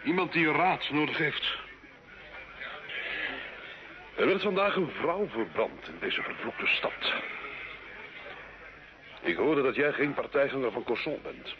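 An actor playing a brooding, impatient knight in an old radio drama, curt, haughty and intense. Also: male voice, medium pitch, animated, a little slow, close to an everyday voice. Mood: grim, urgent. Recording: dull, noisy old radio recording.

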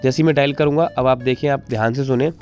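A man's voice: mid-range voice, steady tone, fast, a little mellow. A man presenting a casual tech tutorial, informally addressing viewers in a repetitive, pleading way. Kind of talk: casual screen-recorded phone tutorial. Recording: music playing behind the voice. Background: instrumental background music.

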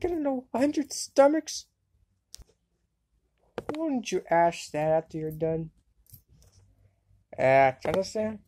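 A woman voicing an excitable, sarcastic anime girl in an amateur cartoon fandub. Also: on the high side, slight background noise. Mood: casual, sarcastic.

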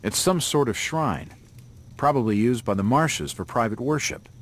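An actor playing a wry, hard-boiled investigator in a video game, with a dry, understated delivery. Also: male voice, mid-range voice, animated, normal speed, a little hiss or hum, natural voice. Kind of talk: video game cutscene acting.

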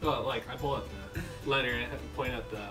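A man's voice: mid-range voice, fairly even intonation, fast. A young adult man chatting casually and jokingly as a player in a tabletop game. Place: living room around a table. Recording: far mic, loud music behind.

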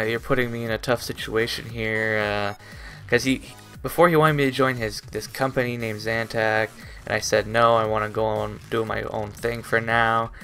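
A man in his twenties talking in a casual, rambling vlog style, hesitant and halting as his thoughts trail off. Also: mid-range voice, vocal fry, fairly bright tone. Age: 20s. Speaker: YouTube vlogger. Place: small bedroom, indoors.

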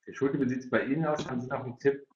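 A middle-aged or older man moderating an online discussion, speaking haltingly and often repeating words. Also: mid-range voice, steady tone. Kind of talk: hosting an online parents' evening discussion. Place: indoor room, video call. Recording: roomy, dull video-call audio.

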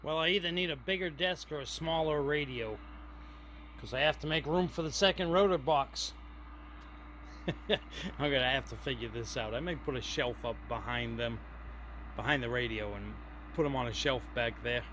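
A man's voice: medium pitch, moderate pace, long pauses, a bit bright, a little hiss or hum. A man giving casual, folksy vlog commentary as a hobbyist showing his own handiwork. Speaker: host, hobbyist showing his antenna build.

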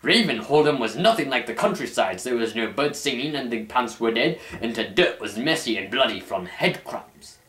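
A man's voice: mid-range voice, expressive, normal speed, few pauses, a bit bright. Young man narrating theatrically in a roomy space. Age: young adult. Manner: theatrical, mock-formal storyteller. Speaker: host reading fan fiction aloud. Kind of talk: mock-dramatic scripted narration. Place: small indoor room at home. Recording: roomy camera sound.

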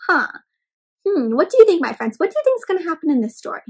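High-pitched young woman reading to kids. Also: fast. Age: young adult. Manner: expressive, questioning, addresses children directly. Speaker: children's storybook read-aloud host. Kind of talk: interactive read-aloud for young children.

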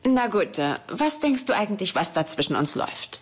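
A woman's voice: medium pitch, sing-song, normal speed, slightly rough. A woman playing a brusque, coolly clinical young scientist in a dubbed sitcom, blunt and matter-of-fact. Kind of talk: dubbed German sitcom acting. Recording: very noisy TV soundtrack rip.

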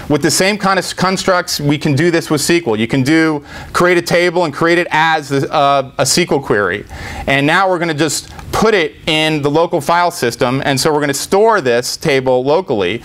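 A middle-aged man giving a live software demo in an informal, step-by-step explanatory way. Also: fairly high pitch. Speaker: tech presenter. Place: indoor meetup presentation room.

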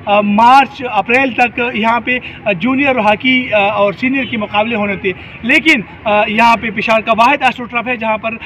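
A man in his forties giving an on-location news report at an outdoor stadium, pausing often with fillers as he talks. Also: extremely high pitch, steady tone, bright, forceful tone, a little hiss or hum, low-quality recording. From Pakistan. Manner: hesitant, with many filler pauses. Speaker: field reporter. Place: outdoor hockey stadium, daytime.

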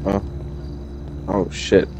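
A man's voice: very slow, darkish tone, a little hiss or hum, rough recording. A man playing a jittery, foul-mouthed drug dealer in hiding in an improvised game roleplay, with a hesitant, rambling delivery. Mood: wary. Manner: hesitant, rambling, profane slacker talk.

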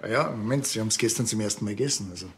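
A man's voice: lowish voice, racing, slight background noise, lightly acted. An actor with a Bavarian accent playing a dry, sly village policeman in a laconic radio play style. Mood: deadpan. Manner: deadpan, laconic.

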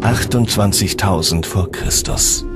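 A man's voice: low voice, measured pace, over loud music. A man reading scripted, dramatic narration for a documentary.

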